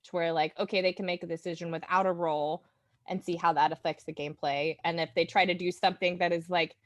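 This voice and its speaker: A woman's voice: lowish voice, steady tone, fast, dry recording. A woman from Oklahoma with an American accent, a podcast guest speaking informally and enthusiastically with some hesitation. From Oklahoma, United States. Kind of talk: casual podcast chat.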